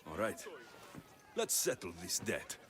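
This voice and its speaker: An actor playing a confident, dry-witted ancient Greek mercenary in a video game, direct and wry. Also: male voice, deep voice, very animated, unhurried, noisy background. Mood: assured. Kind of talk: video game dialogue acting.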